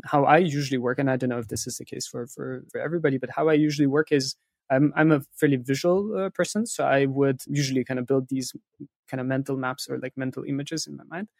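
A man's voice: fairly high pitch, steady tone, fast talker, gravelly, a little mellow, no echo. Man explaining his process, dry close sound. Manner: explains step by step, with frequent "right?" and "you know" check-ins. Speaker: interview guest, content writer who interviews experts. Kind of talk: explanatory interview conversation.

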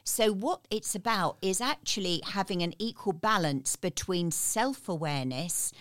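A woman's voice: mid-range voice, lively intonation, unhurried, a bit bright, no echo, clean studio sound. A woman guest on a podcast, earnestly explaining energy techniques and repeating her key words. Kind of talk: podcast interview.

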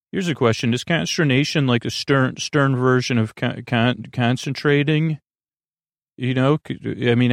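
A man hosting a bedtime podcast in a creaky, lulling, soothing voice, rambling and halting as he interrupts himself, with softly enunciated words. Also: medium pitch, no echo, clean studio sound. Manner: meandering, halting, self-interrupting; soft, gentle enunciation. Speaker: podcast host.

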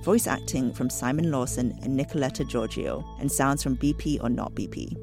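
Gravelly man reading podcast credits. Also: medium pitch, normal speed. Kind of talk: scripted narration. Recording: distant voice over music. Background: music.

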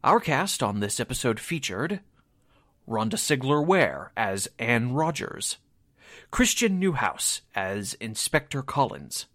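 A man's voice: mid-range voice, very animated, slow, a bit bright, dry recording, clean studio sound. A man working as announcer, reading scripted closing credits for a radio drama.

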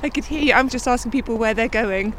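A woman's voice: a little high, fast. A woman podcast host chatting with strangers outdoors in a snowy park, laughing often as she asks questions. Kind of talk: street interview. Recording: outdoor ambient noise.

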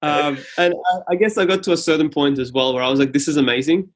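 A man's voice: fairly high pitch, steady tone. A man from Serbia, a Serbian speaker, talking thoughtfully as a podcast guest. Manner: thoughtful, measured, earnest. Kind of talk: reflective interview talk.

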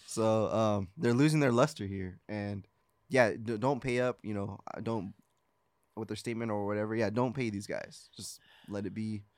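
A man's voice: fairly low pitch, fairly even intonation, moderate pace, a little mellow, dry recording. A man co-hosting a gaming podcast, chatting informally in a joking way. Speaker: podcast co-host. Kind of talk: casual gaming podcast chat.

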